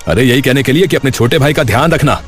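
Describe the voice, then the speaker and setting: A man's voice: medium pitch, lively intonation, quick pace, faint music behind, natural voice. A man playing a bitter, hot-tempered village father in an audio story, indignant and scolding. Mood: angry, resentful. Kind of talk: Hindi audio story drama.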